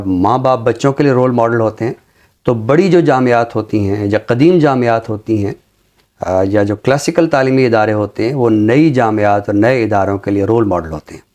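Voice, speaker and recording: A middle-aged Pakistani man speaking in a formal, deliberate way, as a literary commentator on a talk show. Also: medium pitch, darkish tone. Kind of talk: reflective commentary and poetry recitation on a literary show.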